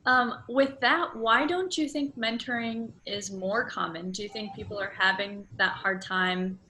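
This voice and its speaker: Bright-voiced woman interviewer, casual and exploratory. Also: medium pitch, unhurried. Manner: informal, exploratory phrasing with rewordings. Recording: faint background ambient noise.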